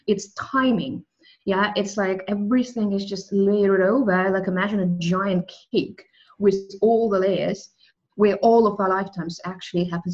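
A woman's voice: medium pitch, darkish tone, highs cut off. Woman musing on soul. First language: Russian. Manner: thoughtful, restarts often, speaks of soul and language. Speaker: guest. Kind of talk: spiritual interview conversation.

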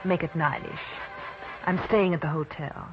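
Actress as a cool, coy femme fatale, muffled. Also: female voice, deep voice, steady tone, moderate pace, over music, natural voice. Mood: cool, flirtatious. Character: cool flirtatious blonde woman at a bar. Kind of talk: old-time radio drama dialogue. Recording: dull, muffled old radio recording.

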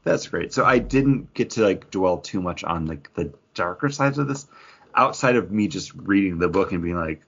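A man co-hosting a podcast in casual chat, halting and full of fillers, often acting out imagined lines as he talks. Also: sits low, expressive, gravelly, fairly bright tone, muffled recording. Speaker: podcast co-host reviewing comic books.

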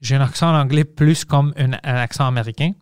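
Podcast host, man, smooth and resonant voice, dry close mic, rambling restarts. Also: mid-range voice, brisk, no room sound. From Montreal, Quebec. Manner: loose and chatty, frequent restarts and English slips. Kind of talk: casual conversational chat.

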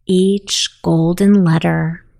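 A woman narrating a guided sleep meditation in a soothing, softly spoken, unhurried manner. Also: fairly low pitch, flat delivery, vocal fry.

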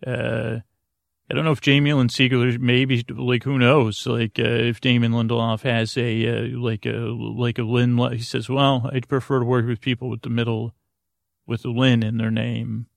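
A middle-aged man delivers a meandering bedtime monologue as a podcast host, halting often and looping back on himself. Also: mid-range voice, little pitch movement, creaky, no room sound, clean studio sound. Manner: hesitant, halting, trails off and loops back.

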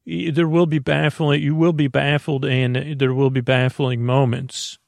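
A man with a creaky voice hosts a bedtime sleep podcast in a soothing, meandering, halting monologue full of stammers and self-interruptions. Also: medium pitch, flat delivery, darkish tone, no room sound, clean studio sound. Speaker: podcast host.

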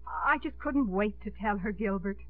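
A woman's voice: mid-range voice, expressive, moderate pace, close to an everyday voice. A woman acting a poised but coy and easily flustered middle-aged lady in a 1950s radio romantic comedy. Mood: arch, breezy. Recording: narrow-band 1950s radio, music behind.

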